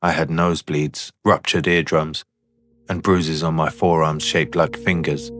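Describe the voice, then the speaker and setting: A man playing a haunted signal technician, telling a horror story in the first person with deliberate, broken phrases. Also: rumbling low, flat delivery, fairly bright tone. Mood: haunted, uneasy.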